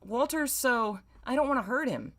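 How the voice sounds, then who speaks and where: A woman storyteller plays an easily embarrassed man. He is hesitant and guarded, tripping over his words, with an unsteady, widely moving pitch. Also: animated, normal speed, close to an everyday voice. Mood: anxious, hesitant. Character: shy, flustered, easily embarrassed grown man.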